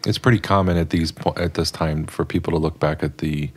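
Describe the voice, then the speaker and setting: A man with a low voice co-hosts a religious podcast. He talks reflectively, in an explanatory way, laying out his points one after another. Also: steady tone, a little fast, slightly rough. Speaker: podcast co-host.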